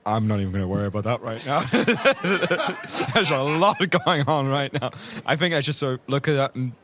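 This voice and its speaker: A man's voice: medium pitch, expressive, moderate pace, a crowd in the background. A man playing a tabletop game, improvising casual dialogue in a dryly exasperated way. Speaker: tabletop roleplay podcast player. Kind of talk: improvised casual roleplay chat.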